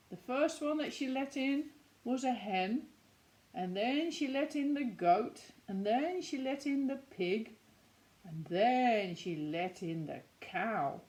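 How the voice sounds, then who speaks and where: A woman reading a picture book aloud to young children, expressive, with questions and asides to her listeners. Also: mid-range voice, slight background noise, rough recording. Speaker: children's story reader.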